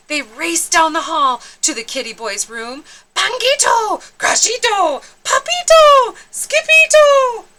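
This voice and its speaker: High, animated woman reading a storybook. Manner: theatrical, dramatic emphasis. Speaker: children's storytime reader. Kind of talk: expressive storybook narration.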